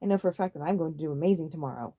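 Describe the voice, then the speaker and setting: A woman's voice: sits low, racing, phone-quality sound. A woman playing a smug, boastful young man in an audiobook story, breezy and a bit dim.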